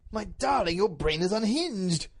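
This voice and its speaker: A woman's voice: medium pitch, sing-song, normal speed, husky, voice pushed up. A woman voicing a bewildered, indignant but doting duke in a storybook reading, with a pompous, emphatic, melodramatic delivery. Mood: bewildered, grave.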